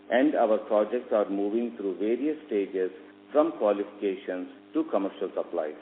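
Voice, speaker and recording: Low-voiced Indian man on a noisy phone line. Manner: measured, reading from prepared remarks. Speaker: company executive presenting on an earnings call. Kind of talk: formal scripted business presentation. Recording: tinny phone line with hum and noise.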